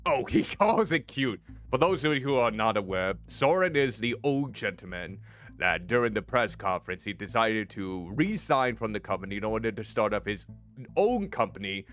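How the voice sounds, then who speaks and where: Brief: man with a deep voice, teasing game streamer, very expressive pitch, game music faintly underneath. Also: normal speed. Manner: playful, teasing, chatty. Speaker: VTuber streamer playing a story game. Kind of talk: casual gameplay commentary.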